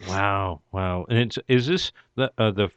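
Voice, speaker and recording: A man hosting an interview, asking questions haltingly with many restarts and fillers. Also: on the low side, clear, resonant tone, dry recording. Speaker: radio interviewer. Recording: dull lo-fi dry sound.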